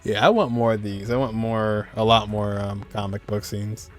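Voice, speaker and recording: A man's voice: lowish voice, normal speed, faint music behind. A man giving casual, offhand live reaction commentary as a gaming streamer, in muttered asides.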